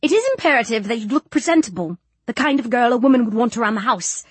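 A woman's voice: on the high side, lively intonation, fast talker, breathy edge, dry recording. A woman voicing a scowling, strict older matron in an audiobook, prim, clipped and critical. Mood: brisk, critical. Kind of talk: audiobook character dialogue.

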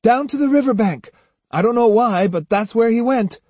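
A man's voice: extremely high pitch, normal speed, a little mellow, husky, no echo, pitched above the performer's own voice. A man voicing an impatient, bold young boy in an audiobook, urgent and exclaiming. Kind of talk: audiobook character acting.

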